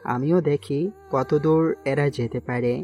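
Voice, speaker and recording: A man playing a calm, calculating mafia king who gives measured orders in an audio story. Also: fairly high pitch, close to an everyday voice. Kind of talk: amateur Bengali audio-story acting.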